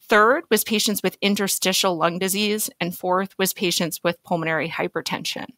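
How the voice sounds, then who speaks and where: A woman speaking as a physician expert in an interview, explaining things in a measured, organized way. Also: on the low side, fairly even intonation, a bit bright, dry recording, clean studio sound. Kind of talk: podcast interview, explaining clinical guidelines.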